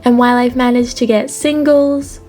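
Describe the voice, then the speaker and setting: A woman's voice: on the high side, fairly even intonation, moderate pace, dark tone, faint music behind. Stuffy-nosed chatty woman in her thirties. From Australia. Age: 30s. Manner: chatty and upbeat, with "like" fillers. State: stuffy from a cold. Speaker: collector vlogger. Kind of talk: casual unboxing vlog.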